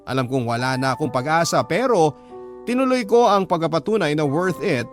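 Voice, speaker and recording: An actor playing a heartfelt young man who narrates his own love story in a measured, sentimental radio drama style. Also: a little high, animated, faint music behind, lightly acted. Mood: earnest, wistful.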